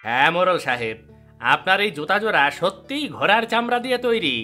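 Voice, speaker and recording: A man's voice: high voice, sing-song, brisk, pushed and bright, faint music behind, full character voice. A man playing a scheming, envious village cobbler in a cartoon folktale, with a sly, exclamatory way of talking. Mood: deferential.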